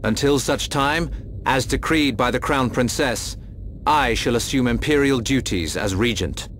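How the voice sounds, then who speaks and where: An actor playing a dignified, cautious royal regent in a game cutscene, formal and authoritative. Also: male voice, medium pitch, measured pace, fairly bright tone, slight background noise, lightly acted. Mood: stately. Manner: stately, formal. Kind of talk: dramatic video game cutscene acting.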